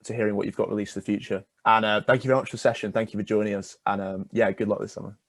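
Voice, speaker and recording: A man's voice: fairly low pitch, flat delivery, rapid-fire, gravelly, no room sound. A young man hosting a casual radio interview, asking wordy, tentative questions in an encouraging way.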